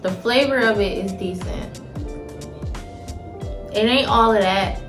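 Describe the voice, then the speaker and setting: A woman's voice: medium pitch, takes it slowly. An American woman in her thirties giving an informal, slangy food review while she eats. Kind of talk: casual chat, food review. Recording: roomy home recording with faint music.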